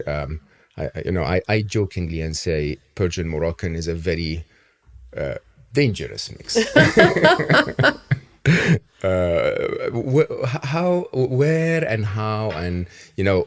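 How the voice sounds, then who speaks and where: A man with an extremely deep, low voice hosts a casual podcast interview. He speaks haltingly, with many "uh"s and restarts. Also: sing-song. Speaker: podcast host.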